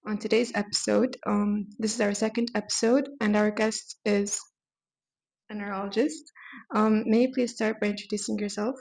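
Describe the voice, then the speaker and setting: A woman's voice: mid-range voice, level tone, normal speed, darkish tone, husky. A young adult woman hosting a podcast, reading prepared questions with hesitant ums. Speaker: podcast host.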